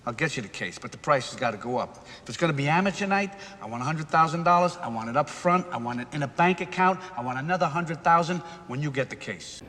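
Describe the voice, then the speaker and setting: A pushy, demanding mercenary. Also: male voice, on the high side, animated, fast, few pauses, bright, forceful tone, in a large hall. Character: hard-bargaining male mercenary.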